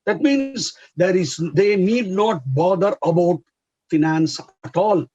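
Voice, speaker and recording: A man with an Indian English accent explaining as a chartered accountancy educator, halting with many fillers in an earnest advisory way. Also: high voice, mellow, no room sound, highs cut off. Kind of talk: explanatory interview answer.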